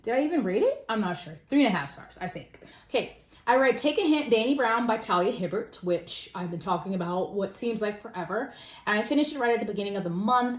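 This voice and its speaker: A woman giving a casual, self-deprecating book review in an informal, conversational way. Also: mid-range voice. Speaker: book vlogger. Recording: roomy echo.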